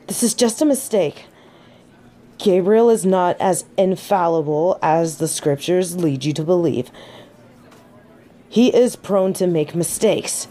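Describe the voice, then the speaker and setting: A woman's voice: lowish voice, mellow. A woman playing a snobby, centuries-old fallen angel in denial, speaking in formal, pompous phrasing in a scripted audio roleplay. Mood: defensive, distraught. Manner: formal, precise, pompous diction. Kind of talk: scripted character roleplay monologue.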